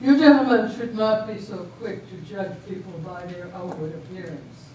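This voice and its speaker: A woman in an amateur stage reading, playing a composed, decisive government official with a measured, formal delivery. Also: medium pitch, animated, mellow, natural voice. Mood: firm, formal. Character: composed, decisive female official judging a border dispute. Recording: echoey hall, distant mic, faint noise.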